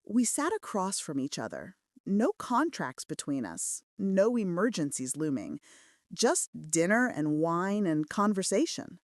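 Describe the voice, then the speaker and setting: Woman narrating romantic fiction, expressive and close. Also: lowish voice, measured pace, no room sound. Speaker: audiobook narrator. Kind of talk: scripted romance story narration in first person.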